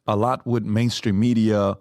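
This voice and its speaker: A man's voice: on the low side, level tone, unhurried, a little mellow, no echo. A man hosting an interview, asking long, rambling questions with restarts, on a close studio mic. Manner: rambling, restarts and fillers while framing questions. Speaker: podcast host.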